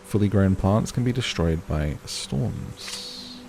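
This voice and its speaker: A man's voice: sits low, lively intonation, takes it slowly, gravelly, over music. A man doing casual gaming commentary, chatting and musing to himself and to viewers as he plays and reads out on-screen text. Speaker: gaming video host.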